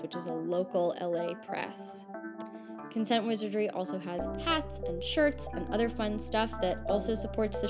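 A woman hosting a podcast, speaking casually with many fillers and restarts. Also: medium pitch. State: lost voice, whispering. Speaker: podcast host.

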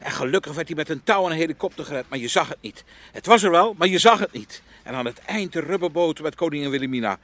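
An awestruck culture buff in a radio comedy. He speaks in short, earnest phrases with a raised pitch and very lively intonation. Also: quick pace, no echo. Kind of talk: Dutch radio comedy acting.

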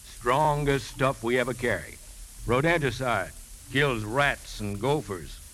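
A man's voice: mid-range voice, slow pace, fairly bright tone. A man playing a folksy, knowing shopkeeper who sells poisons, in a 1950s radio drama. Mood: amused, knowing. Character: chatty, chuckling male shopkeeper selling poisons. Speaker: actor in a radio play. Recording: noisy, hissy 1950s radio recording.

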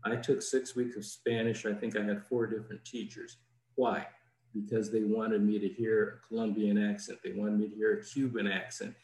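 A man, a diplomat guest, answering questions in a plain, measured, explanatory way over a video call. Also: on the low side, level tone, darkish tone. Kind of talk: informative answers in an interview. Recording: roomy echo.

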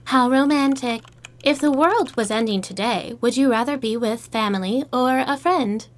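A high-voiced, chirpy female AI host, played by a woman from an audio drama. She is relentlessly upbeat and scripted, like a game host working through set phrases. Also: moderate pace, lightly acted. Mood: cheerful, polite. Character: chirpy artificial intelligence matchmaking host, female.

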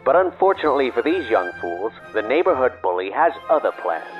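Theatrical horror-drama announcer, animated delivery, faint music beneath. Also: male voice, mid-range voice, fairly quick, highs cut off. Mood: ominous, wry. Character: theatrical male announcer of a spooky 1920s horror tale. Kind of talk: dramatic trailer narration.

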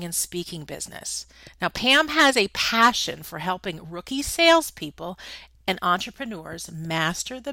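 Bright, energetic woman podcast host. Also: lowish voice, no echo. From Connecticut. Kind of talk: interview podcast.

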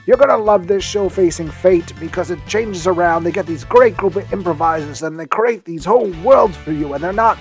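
A man's voice: sits high, a little fast, hardly any pauses, faint music behind. A man giving a chatty podcast recommendation in a rambling, self-deprecating way. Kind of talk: chatty scripted-feeling promo talk.

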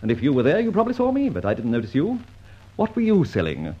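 Flippant gentleman rogue for radio drama, highish and husky, breezy banter, muffled old recording. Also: wildly swooping pitch, fast talker, exaggerated voice. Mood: airy, bluffing. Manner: breezy, feigned innocence, bantering. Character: suave, flippant gentleman adventurer, an old Etonian.

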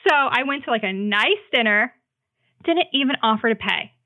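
A woman's voice: a little high, normal speed. A woman guest on a podcast telling personal stories in casual chat, animatedly acting out what people said, over a remote call. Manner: animated storyteller, acts out quoted lines. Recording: phone-like remote call audio.

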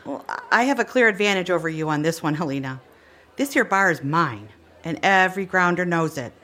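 An actress playing a wry, firm, good-humoured bar owner in an audio drama, with a folksy way of talking. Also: female voice, lowish voice, lively intonation, fairly quick, a bit bright. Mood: relaxed, proud. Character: no-nonsense, wry, good-humoured woman bartender who owns the bar.

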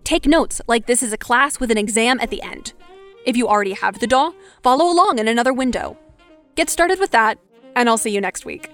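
A woman hosting a podcast, reading scripted narration in a clear, instructive manner. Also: on the high side, expressive, brisk, fairly bright tone, faint music behind. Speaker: podcast host.

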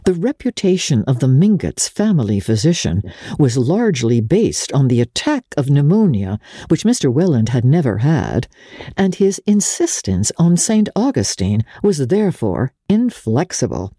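A woman giving a dramatic reading of literary fiction as an audiobook narrator, with measured, expressive and deliberate phrasing. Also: extremely low pitch, breathy edge, dry recording, clean studio sound.

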